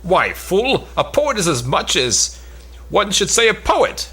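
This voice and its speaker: A man playing a pompous, foolish braggart knight who declaims grandly in a comic Elizabethan stage play, with a rough tone. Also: high voice, big pitch swings, slow pace, a bit bright, full character voice. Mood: haughty. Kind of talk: comic Elizabethan stage drama, cold read.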